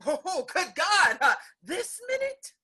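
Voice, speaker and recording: A woman's voice: very high voice, very animated, slow, sharp tone, exaggerated voice. A woman playing a distressed but defiant young lady in a period stage comedy, declaiming with passion. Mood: dismayed. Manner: impassioned, declamatory. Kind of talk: theatrical Restoration play acting.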